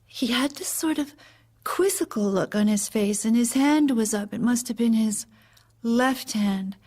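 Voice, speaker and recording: A grieving First Lady in an audio drama. Her voice is high and breathy-rough, and she speaks haltingly, fragile and dazed. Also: a little mellow. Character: grieving young widowed First Lady recalling her husband's shooting.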